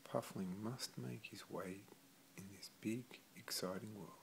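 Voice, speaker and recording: Slow, low-voiced man reading a story. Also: vocal fry, poor audio. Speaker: storybook reader. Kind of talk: expressive children's story read-aloud.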